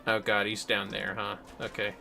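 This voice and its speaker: A man's voice: on the low side, monotone, normal speed, gravelly, loud music behind the voice. A man giving casual, playful, sweary commentary on a gaming livestream, prone to exclamations.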